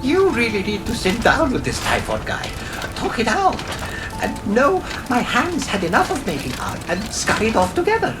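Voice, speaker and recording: A woman's voice: fairly high pitch, huge pitch swings, moderate pace. A woman voicing a forgetful, whimsical god of the forge in a video game. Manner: whimsical, dreamy. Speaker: game character. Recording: game audio with music underneath.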